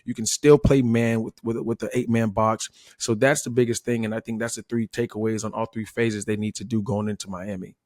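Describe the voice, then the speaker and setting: A man's voice: on the low side, level tone, fairly quick, hardly any pauses, darkish tone, no room sound, studio quality. A man from Florida giving conversational football analysis like a coach, emphatic and checking in with rhetorical questions. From Florida, United States. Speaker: former player turned football analyst.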